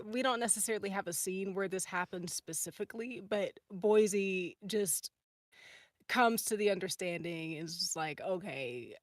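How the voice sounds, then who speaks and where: A woman's voice: mid-range voice, no room sound. A woman on a podcast panel, chatting casually and appreciatively in a hesitant, rambling way. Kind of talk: casual group chat.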